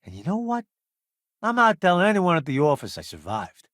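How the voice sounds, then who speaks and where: A self-promoting food columnist turned spacefaring crewmate for a video game. He is breezy and sardonic, with a slightly high male voice that swings widely in pitch. He takes his time over his wisecracks, and the sound is close and dry. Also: huge pitch swings, brisk, a bit bright, no room sound, full character voice. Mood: aggrieved.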